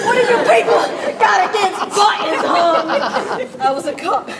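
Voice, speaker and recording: A woman playing an agitated older male button-store owner and retired cop, ranting and shouting at the crowd. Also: very high voice, big pitch swings, a little slow, heavily acted. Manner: ranting, shouting, laughing, then sheepish. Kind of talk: live stage comedy play. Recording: roomy hall from far off, audience noise.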